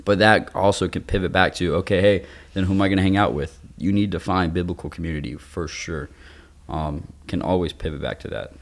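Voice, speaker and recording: Deep-voiced young man, casual faith talk. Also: brisk. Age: 20s. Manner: informal, youthful slang, explains with everyday examples. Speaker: young adult ministry podcast speaker. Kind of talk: casual faith discussion with teaching.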